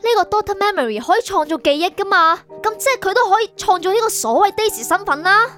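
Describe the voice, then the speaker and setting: Radio drama heroine, female actor: hunted, confused young woman, very high voice, fast, pleading, Hong Kong Cantonese accent. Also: expressive, a bit bright. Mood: defiant. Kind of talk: radio drama acting and first-person narration. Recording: music bed behind the voice.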